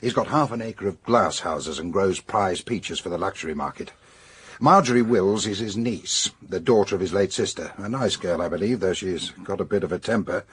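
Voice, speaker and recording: An actor playing a brisk, businesslike British police superintendent giving matter-of-fact briefings in a radio drama. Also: male voice, low-pitched, slightly rough.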